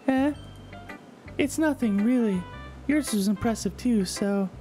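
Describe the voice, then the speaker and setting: A woman's voice: a little high, unhurried, dark tone, natural voice. A woman voicing a shy, bookish teenage girl poet in a visual novel, speaking hesitantly and earnestly. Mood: bashful. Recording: game music under the voice.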